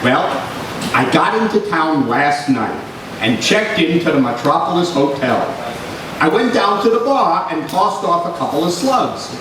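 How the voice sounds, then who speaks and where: Heard across a very reverberant room, a slangy, breezy private eye acted by a man talks in a high voice that swoops around. It has the folksy jauntiness of old radio drama. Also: big pitch swings, measured pace. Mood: incredulous. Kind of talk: live recreation of an old-time radio serial. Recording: very echoey hall, far microphone, loud noise.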